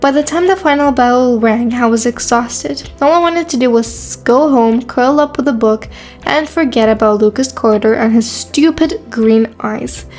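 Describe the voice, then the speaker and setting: Woman acting a high-voiced, guarded teenage girl. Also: measured pace, a little mellow, soft background music. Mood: flustered, reflective. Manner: dry, defensive, sarcastic. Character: guarded, prickly quiet new high school girl. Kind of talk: first-person narration and dialogue in a teen romance.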